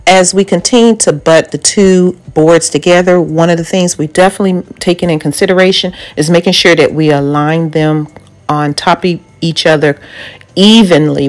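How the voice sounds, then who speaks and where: Low-voiced woman narrating home DIY. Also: fairly even intonation, moderate pace. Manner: step-by-step explanatory, with filler ums. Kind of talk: voice-over narration of a DIY project.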